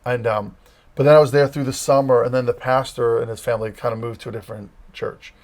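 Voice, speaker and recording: A man's voice: mid-range voice, fairly even intonation, fast talker, a little mellow. Middle-aged man with a Massachusetts accent. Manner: rambling, storytelling, many fillers. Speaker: campus ministry leader, guest. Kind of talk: casual interview chat.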